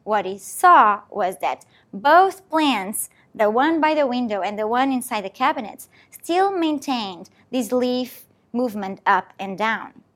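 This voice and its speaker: Woman researcher, animated and higher-pitched, patient explainer. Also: unhurried, few pauses. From Portugal. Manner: explanatory, walking through an experiment step by step. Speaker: scientist. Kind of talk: presentation talk.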